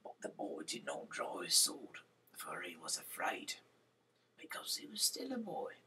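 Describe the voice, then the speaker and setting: A man with a very low, creaky voice that often drops toward a whisper. He reads aloud like an amateur storyteller of scripture, tripping over names, with pitch that moves around freely. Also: huge pitch swings, measured pace, bright, forceful tone, far from the mic. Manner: nonstandard grammar, stumbles over names. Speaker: reader of Bible chapters.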